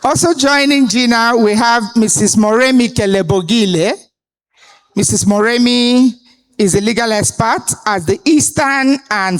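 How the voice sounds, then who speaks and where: A woman, moderating a live conference panel and introducing the speakers in a deliberate, authoritative way. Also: mid-range voice. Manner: deliberate, authoritative delivery with pauses. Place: conference hall stage.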